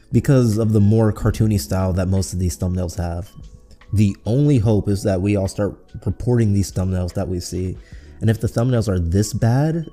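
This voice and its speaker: Very dark-voiced man narrating a video essay. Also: lowish voice.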